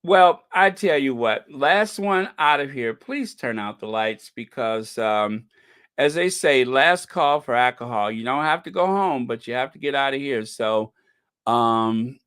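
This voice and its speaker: A man hosting a casual livestream, chatting in a playful, theatrical, joking way with halting, drawn-out phrasing. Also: mid-range voice, expressive, a bit bright, smooth, no room sound, clean studio sound. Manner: playful, joking, mock-indignant theatrical delivery. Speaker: livestream host.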